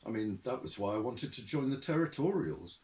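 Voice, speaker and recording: Man doing a timid, squeaky young man. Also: big pitch swings, fairly quick, darkish tone, lightly acted. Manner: hesitant, squeaky.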